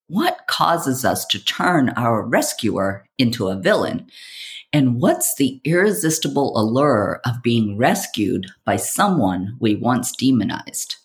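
A woman hosting a podcast, delivering a scripted monologue in a theatrical, provocative way with deliberate phrasing. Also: extremely low pitch. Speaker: podcast host.

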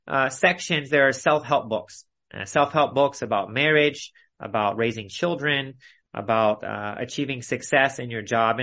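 A male preacher teaches in an expository sermon style. His voice sits at an average pitch for a man. He sets out his points deliberately, in short phrases with clear emphasis on key words. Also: bright, forceful tone. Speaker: preacher leading a Bible study. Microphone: video call.

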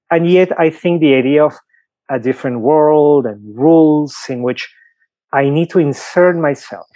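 A man's voice: mid-range voice, darkish tone, no room sound, rough recording. A middle-aged man from Peru with a Peruvian Spanish accent, a priest explaining his views in a podcast interview with many fillers and examples. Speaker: priest guest.

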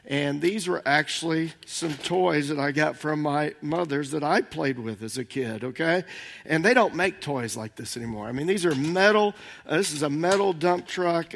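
An older man giving a conversational sermon as senior minister, slightly hoarse, rambling and halting with many fillers. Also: fairly high pitch, a bit bright.

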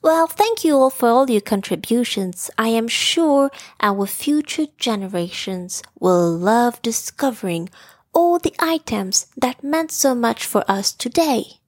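A woman's voice: fairly high pitch, animated, a little slow, no room sound, studio quality. A woman voicing a friendly, polite, earnest male bear in a children's bedtime story. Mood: grateful.

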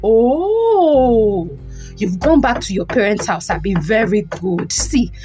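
A man's voice: very high voice, darkish tone, full character voice. A man with a Nigerian accent playing a scornful, domineering estranged husband in an audio drama, repeating phrases for emphasis. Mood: threatening. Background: music.

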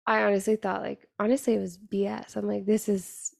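Young mom rambling on her podcast, raspy and a bit gravelly, tired late night vibe, dry close sound. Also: mid-range voice, level tone, brisk, no room sound. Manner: chatty, rambling, confiding. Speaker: podcast host. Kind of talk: casual solo podcast monologue.

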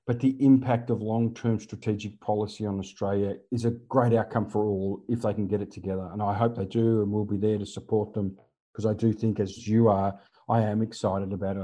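A man with a lowish, mellow voice answers interview questions with earnest emphasis. He circles back to key words and leans on his points. His tone is relaxed rather than sharp. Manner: earnest and emphatic, with repeated phrases. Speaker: podcast guest, consultant. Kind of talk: conversational interview. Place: living in Germany.

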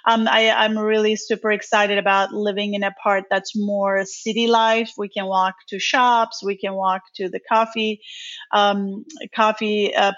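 A woman's voice: medium pitch, a bit bright. A woman in her forties, originally from Turkey, answering interview questions in a chatty way with many ums and restarts. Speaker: podcast guest, training company founder. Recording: dull lo-fi sound.

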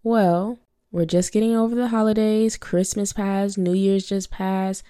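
A woman's voice: medium pitch, steady tone, a little slow, dark tone, clean tone, no room sound, clean studio sound. A young woman hosting a faith-based podcast, congested from a cold, teaching in an earnest, preachy way and repeating her key phrases. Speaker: podcast host.

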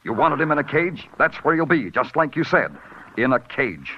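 An actor playing a tough, terse New York police detective in a 1940s radio crime drama. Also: male voice, medium pitch, sing-song, normal speed. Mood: grave, insistent. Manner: terse, commanding, hardboiled. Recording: dull old radio recording with music.

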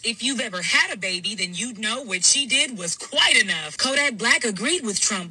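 A woman delivers sarcastic scripted commentary in a husky, breathy voice. Her tone is very bright and piercing, and her pitch swings widely. Her punchlines are sassy. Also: rough recording. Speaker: social media commentator.

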